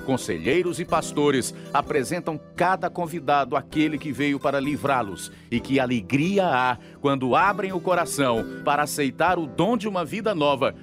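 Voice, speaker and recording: Brazilian man narrating over guitar music. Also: mid-range voice. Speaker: narrator and announcer of a radio drama series. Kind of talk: scripted dramatic radio narration. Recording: acoustic guitar music behind the voice.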